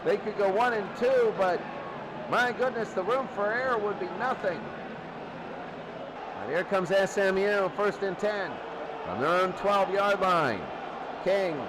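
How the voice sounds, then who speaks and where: A man calling football play by play in short, clipped, excitable phrases. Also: sits high, measured pace, long pauses. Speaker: play-by-play sports commentator. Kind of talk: live American football commentary. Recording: noisy crowd bed behind commentary.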